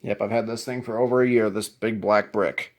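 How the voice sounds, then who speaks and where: A man's voice: on the low side, fairly even intonation, a little fast. A man presenting his hobby collection in a casual show-and-tell style, talking from home.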